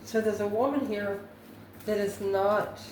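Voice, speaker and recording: A woman giving an improvised spiritual tarot reading to viewers, musing in a halting way and posing questions to herself. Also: medium pitch, fairly even intonation, a little mellow. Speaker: tarot card reader, channel host. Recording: echoey room, distant mic, faint noise.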